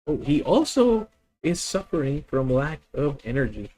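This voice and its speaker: A man's voice: a little high, sing-song, normal speed, dark tone, soft background music. A man with a Filipino accent streaming a card game, methodically thinking aloud and correcting himself as he plans each move. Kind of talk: live deck-test commentary.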